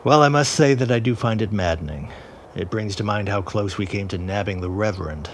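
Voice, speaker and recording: A deep-voiced, middle-aged father, played by an actor, talks with exasperated conviction. The delivery is that of a period audio drama, over faint background noise. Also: fairly quick, natural voice. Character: exasperated, principled father of a grown daughter.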